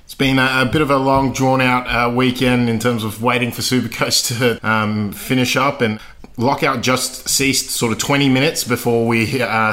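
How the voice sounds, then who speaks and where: A man's voice: medium pitch, a bit bright. Chatty Australian man hosting a podcast. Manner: upbeat, chatty, laughs while talking. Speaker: podcast host. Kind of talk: casual podcast chat.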